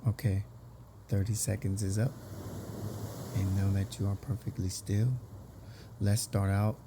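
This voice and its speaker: A man leading a guided meditation as a teacher, speaking in a soothing, deliberate way with drawn-out phrases and pauses. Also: lowish voice, completely flat delivery, background noise. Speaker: meditation teacher and podcast host. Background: background music.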